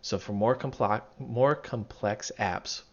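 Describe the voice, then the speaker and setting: A man with a voice going raspy, walking through code in a casual tutorial, thinking aloud and correcting himself as he goes. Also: lowish voice, slow. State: losing his voice, raspy. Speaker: programming tutorial instructor. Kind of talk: casual screen-recorded coding walkthrough. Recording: dull lo-fi mic, keyboard typing behind. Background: keyboard typing and clicking.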